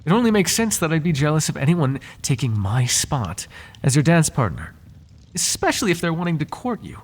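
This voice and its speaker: A man's voice: on the high side, wildly swooping pitch, brisk, breathy edge, full character voice. A man playing a devoted, lovesick butler in an intimate ASMR roleplay, flirting with his master in formal, courtly, teasing and tender words. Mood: adoring, lovestruck.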